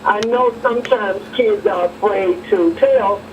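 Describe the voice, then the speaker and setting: A man's voice: sits high, measured pace. A man phoning a radio show with a spontaneous question. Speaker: caller. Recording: dull, noisy, distant radio call.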